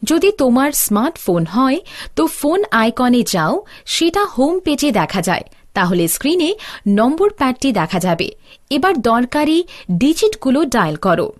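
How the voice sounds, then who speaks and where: High-pitched, lively woman instructor. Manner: didactic, step-by-step. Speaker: instructor narrator. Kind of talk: scripted instructional narration.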